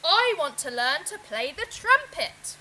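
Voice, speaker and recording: A woman plays a young storybook boy with his mind made up. The voice is high and bright, emphatic and insistent, over faint noise. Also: very animated, measured pace, full character voice. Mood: determined, loud. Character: quiet young boy, determined to be noisy.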